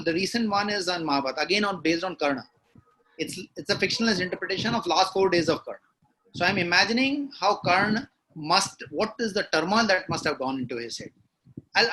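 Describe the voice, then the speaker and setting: A man's voice: high-pitched, bright, forceful tone, slight background noise, poor audio. A man with an Indian accent, a novelist guest in a conversational interview, explaining his work reflectively and slipping into Hindi at times.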